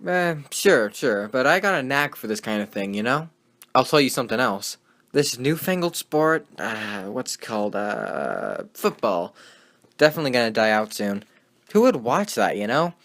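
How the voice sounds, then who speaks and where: A skeptical, slangy sports host from a 1920s radio show, acted by a man. His voice sits at a medium pitch. He talks in a folksy, sardonic way, like a born wisecracker. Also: sing-song, normal speed, a bit bright. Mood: cocky. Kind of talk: 1920s radio broadcast acting with period slang.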